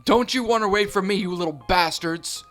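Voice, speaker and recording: Brief: man doing a raging cartoon mom, shrill and piercing, pitched well up, hard-edged. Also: normal speed, a bit bright, faint music behind. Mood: furious. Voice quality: shrill, shrieking. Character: enraged unhinged mother, screeching and violent.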